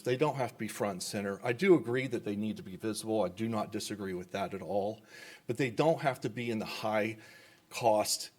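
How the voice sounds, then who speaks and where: A man's voice: fairly low pitch, a little mellow. A man speaking as a developer at public comment in a government meeting, enthusiastic and rambling with repeated words.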